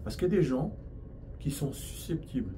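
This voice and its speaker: A man's voice: medium pitch, sing-song, normal speed, background noise. A man from France with a French accent, a hypnosis and NLP trainer explaining his field in an interview, with frequent restarts.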